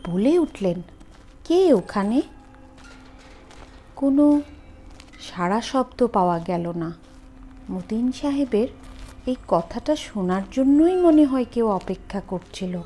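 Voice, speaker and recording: A woman narrates a scripted horror story dramatically, with suspenseful, deliberate phrasing and pauses. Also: on the high side, dark tone.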